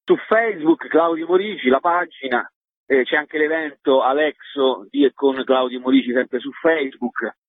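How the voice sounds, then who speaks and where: A man's voice: medium pitch, normal speed, no echo. A man, chatting casually and ramblingly as a theatre performer being interviewed. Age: 50s. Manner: colloquial, rambling. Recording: muffled, phone-like line, husky and dry.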